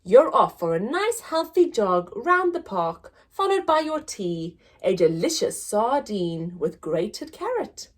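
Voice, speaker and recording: Woman playing a bossy, lively mother elephant. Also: mid-range voice, slow, hardly any pauses. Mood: brisk, bossy. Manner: stern, emphatic. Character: bossy, determined mother elephant, adult female.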